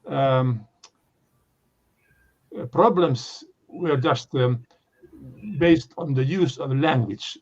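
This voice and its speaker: An older man from Finland with a Finnish accent, giving an unscripted academic talk in a halting way, full of fillers and restarts. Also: mid-range voice, a little mellow. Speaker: lecturer. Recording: dull lo-fi recording.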